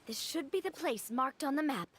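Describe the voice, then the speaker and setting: A woman's voice: high voice, lively intonation, normal speed, fairly bright tone. An actress voicing an eager young female adventurer in a video game, upbeat and curious.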